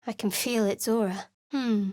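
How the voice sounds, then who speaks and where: A woman voicing a guarded, sardonic young cleric of a dark goddess in a fantasy game, speaking in a dry and wry way. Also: a little high, fairly even intonation, measured pace, no echo, lightly acted. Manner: dry, wry and cool, with sly sarcasm. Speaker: party companion in a fantasy video game. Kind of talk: recorded game voice lines. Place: studio booth. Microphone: close studio mic.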